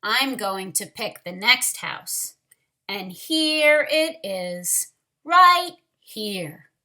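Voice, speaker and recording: A woman voicing a fussy, bossy female bird in a storybook, with emphatic, drawn-out complaints. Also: medium pitch, sing-song, pushed and bright, full character voice.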